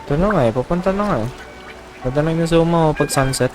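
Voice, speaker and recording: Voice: medium pitch, racing, music in the background. A Filipino streamer with Tagalog as first language, reacting casually while playing a video game.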